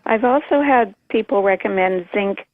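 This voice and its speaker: A woman's voice: mid-range voice, normal speed, no echo. Woman caller on a tinny phone line. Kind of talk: polite phone query. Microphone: telephone. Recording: tinny narrow telephone line.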